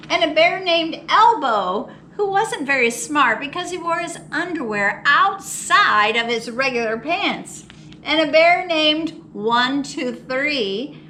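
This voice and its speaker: Casting: female children's storytime reader, high-pitched and unhurried, theatrical delivery, faint noise behind. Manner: expressive, theatrical, with mock exasperation and drawn-out words. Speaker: storytime host at a public library. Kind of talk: animated read-aloud of a children's picture book.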